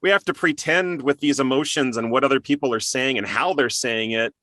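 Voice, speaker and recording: A man with a bright, forward voice and middling pitch hosts a relaxed interview chat. The sound is close and dry, with very little room around him. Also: fairly quick, no echo. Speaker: interview host, voice actor.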